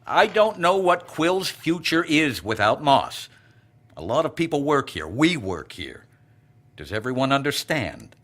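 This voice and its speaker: A man playing a pragmatic, anxious director of a secret research facility in an audio drama, speaking in measured, formal terms. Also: medium pitch, sing-song, fairly bright tone. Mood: grave.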